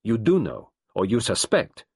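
A man's voice: mid-range voice, big pitch swings, normal speed, husky, dry recording, studio quality, voice pushed up. A man reading the part of a stern, precise elderly male detective who speaks formally and insistently.

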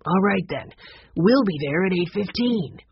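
A woman's voice: mid-range voice, lively intonation, measured pace, gravelly, dull audio, slight background noise. Woman as a confident, practical older boy. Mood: decisive.

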